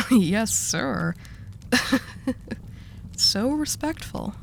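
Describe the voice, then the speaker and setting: A woman's voice: on the high side, animated, drawn-out words, creaky, fairly bright tone, slight background noise, exaggerated voice. A woman acting as a dominant female mafia boss in an intimate audio roleplay, composed, teasing and coolly seductive. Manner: teasing, condescending endearments, composed.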